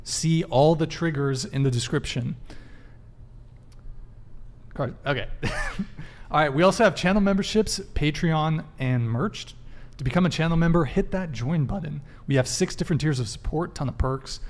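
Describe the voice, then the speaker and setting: A man hosting a live podcast, running through announcements and promos in a brisk patter with fillers. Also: a little high. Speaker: podcast host.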